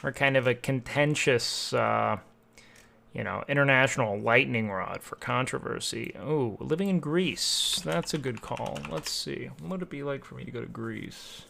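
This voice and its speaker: A man's voice: mid-range voice, moderate pace, vocal fry, bright, forceful tone. A man streaming live, reacting to videos with blunt, profane asides.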